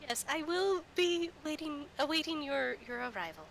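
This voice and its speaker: Woman putting on a sweet old lady, high and genteel. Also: lively intonation, moderate pace, vocal fry, a bit bright, heavily acted. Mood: sweetly coy. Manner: fond, genteel, fussing. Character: sweet old orc lady, motherly and coy. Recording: noisy game stream.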